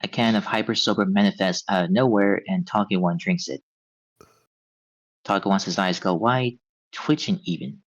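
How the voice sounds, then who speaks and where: A man's voice: lowish voice, moderate pace, no room sound. A man reading script directions aloud at a table read, on a slightly dull recording. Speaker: stage-direction reader.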